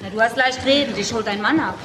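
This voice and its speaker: An actress playing a breezy, sociable friend, playful and chatty, in a radio crime drama. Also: female voice, fairly high pitch, fast, lightly acted. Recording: clearly noisy recording.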